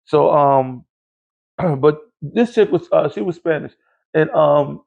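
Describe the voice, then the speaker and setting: A man's voice: on the high side, slow, vocal fry, narrow, tinny audio. A man in his late forties hosting a podcast, telling a long, rambling, profane story in casual chat. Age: 40s. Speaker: podcast host.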